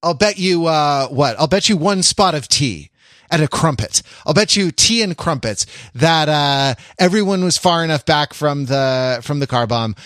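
A man speaks as a podcast host in casual discussion. His delivery is halting and hedged as he thinks out loud, and the sound is dry and close. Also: fairly high pitch, lively intonation, no echo. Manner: halting, hedging, thinking aloud.

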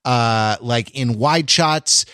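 A man hosting a casual podcast discussion, phrasing his thoughts in a halting, deliberate way. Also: medium pitch, sharp tone, smooth, no echo, studio quality. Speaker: podcast host. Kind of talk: casual pop culture discussion.

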